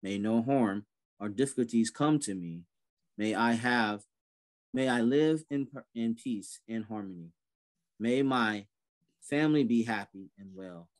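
A man's voice: on the low side, unhurried. A man in his thirties hosting an online prayer event over a video call, talking in an easygoing way with many fillers and restarts. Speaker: event host.

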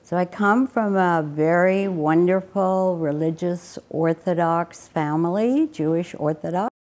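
A woman's voice: on the low side, measured pace, darkish tone. A woman in her eighties recounting family memories in an interview. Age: 80s. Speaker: Holocaust survivor interviewee. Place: indoor studio.

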